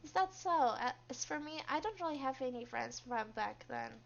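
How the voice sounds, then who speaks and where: A woman's voice: sits high, expressive, normal speed, gravelly. A woman voicing an eager, friendly, awkward young male office worker in a visual novel, in a chirpy manner. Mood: curious. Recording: dull, lo-fi microphone.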